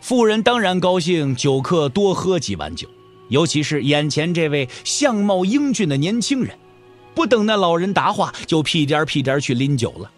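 Male audio drama narrator: colloquial, expressive, widely varied intonation, music faintly underneath. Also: a little high, moderate pace. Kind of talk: scripted dramatic storytelling narration.

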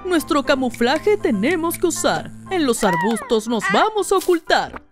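A woman voicing a lively cartoon animal in a children's show, delivering singsong, emphatic rhymed lines. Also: high voice, measured pace. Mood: commanding. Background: music.

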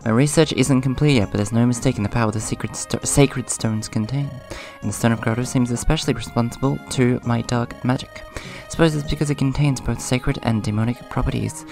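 A man with a hoarse voice casually hosting a let's play, rambling a little and reading game dialogue aloud in a subdued way. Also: mid-range voice, fairly quick, few pauses, a little mellow. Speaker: gaming video host. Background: video game music.

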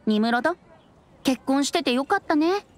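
A woman voicing a youthful, boyish bard in an anime game, playful and breezy with gentle teasing. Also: high voice, animated, normal speed.

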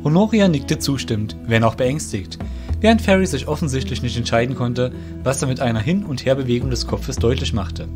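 A man with a medium-pitched voice narrates a fantasy tale. His delivery is scripted storybook reading. Guitar music plays clearly underneath. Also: a little fast. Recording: music behind the voice.

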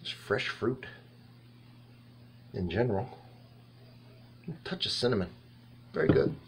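A man in his fifties giving a casual, halting tasting review to camera, rambling and thinking aloud in a small indoor room. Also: fairly low pitch, noisy background. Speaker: wine reviewer and host.

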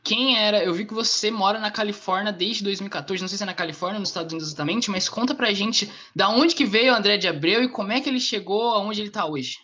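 A young man hosting a podcast interview, asking a question quickly and eagerly over a headset. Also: high-pitched, pushed and bright. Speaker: podcast host.

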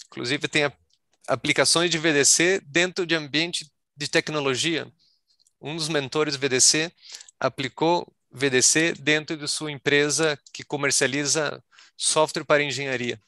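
A man's voice: a little high, steady tone, unhurried, bright, forceful tone, no room sound, studio quality. A Brazilian man answering as a panelist on a video call, explaining at length and often repeating words as he searches for them.

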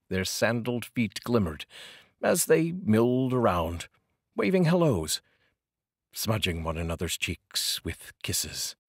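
A middle-aged man reads poetry with deliberate, dramatic phrasing. His voice is very low and husky, and his pitch moves in lively sweeps. He is close on a studio microphone in a dry space. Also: no room sound. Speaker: poetry reader, channel host. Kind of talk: expressive poetry reading. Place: small curtained home studio, indoors. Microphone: close studio microphone on boom arm with pop filter.

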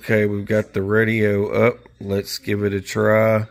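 A man's voice: on the low side, completely flat delivery, unhurried, creaky. An American man hosting a casual product demo, talking in a folksy, informal, rambling way. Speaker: host of a prepper gear channel. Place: small cluttered indoor room.

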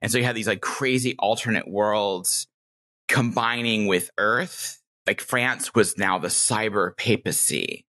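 A woman's voice: a bass voice, measured pace, a bit bright. A middle-aged woman chatting casually and enthusiastically as a podcast guest, telling anecdotes. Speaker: podcast guest, game master.

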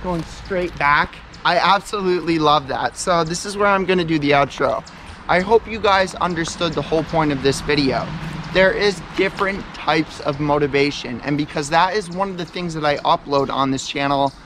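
A man's voice: on the high side, fairly bright tone, a little hiss or hum. A young man, chatting casually and earnestly to the camera as a vlogger, rambling with lots of fillers. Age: young adult. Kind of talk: casual vlog talk to camera. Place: kitchen indoors, then outdoors on railroad tracks in woods.

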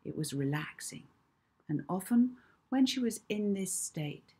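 A woman in her fifties reading her own children's book aloud as an expressive, deliberate storyteller. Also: medium pitch, husky. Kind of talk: children's audiobook narration. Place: small indoor room at home.

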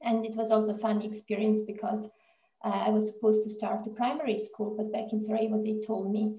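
A woman's voice: mid-range voice, little pitch movement, brisk, dark tone. A woman, telling her story conversationally as an interview guest on a video call. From Bosnia, with years in Mexico and Austria. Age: 40s. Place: indoor room on a video call. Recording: echoey room on video call.

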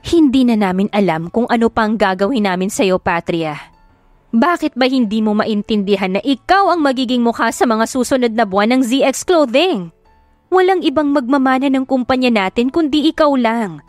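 A woman's voice: fairly high pitch, expressive, moderate pace, dry recording, lightly acted. Woman acting a reproachful Filipino mother. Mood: hurt pleading. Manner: reproachful, insistent. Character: reproachful, firm middle-aged wealthy mother. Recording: music behind the voice.

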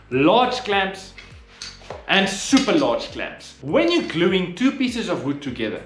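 High-pitched, animated man in an echoey room. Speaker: DIY woodworking channel host. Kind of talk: presenting tool advice to camera. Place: small indoor workshop. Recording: echoey room with background music.

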